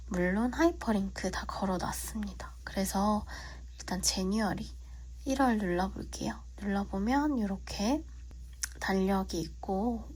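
A young woman with a cold and a husky, slightly whispery voice, politely walking viewers through a planner in a relaxed vlog with frequent fillers. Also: mid-range voice. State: has a cold. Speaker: vlogger. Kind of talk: casual tutorial walkthrough. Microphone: phone.

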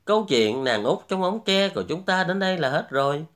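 A man's voice: on the high side, animated, fairly quick, a bit bright. A man hosting and narrating a fairy tale from a script as a storyteller. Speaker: storyteller, host.

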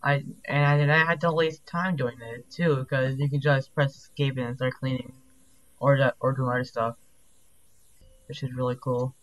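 A young man chatting casually while speedrunning a game, rambling with lots of fillers and quick asides, his voice sounding deep. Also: steady tone, low-quality recording.

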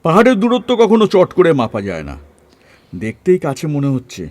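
A man's voice: a little high, huge pitch swings, moderate pace, a little mellow, dry recording. A man acting a cautious, reasoning senior man who leads a trek in an audio story, musing and explaining as he goes.

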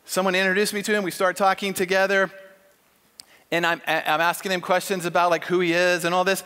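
A middle-aged man preaching on a large church stage, emphatic and animated, acting out quoted lines as he tells a story. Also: high voice, a little fast, clean tone. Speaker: conference speaker. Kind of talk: sermon with storytelling. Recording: long hall reverb.

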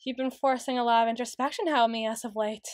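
A woman playing a flustered, prim, studious young tsundere in an ASMR roleplay, speaking in halting, stammering, formal sentences. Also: a little high, fairly even intonation, dry recording, studio quality, lightly acted. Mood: hesitant, tender. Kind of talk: ASMR roleplay, acted monologue.

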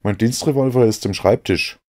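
Guy doing an earnest gentleman sidekick, voice pushed up higher, polite but emphatic, audiobook. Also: moderate pace, natural voice. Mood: earnest, doubting.

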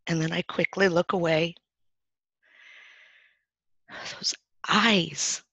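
A woman plays a scared young girl telling her own story in a dramatic monologue, with many halts and restarts. The voice is slow and gravelly with vocal fry. It is recorded dry and sounds dull and lo-fi. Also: fairly low pitch, steady tone, sharp tone, no echo, full character voice. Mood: awed. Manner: halting, hesitant telling with restarts. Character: frightened young girl hiding in her bed. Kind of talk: first-person dramatic monologue.

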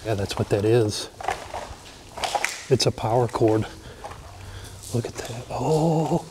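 A man's voice: medium pitch, takes it slowly, noisy background. A man, filming as he explores an abandoned hospital and talking to viewers in awe. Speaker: urban explorer vlogger. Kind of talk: narration and on-site exploring commentary. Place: dark abandoned hospital building, indoors.

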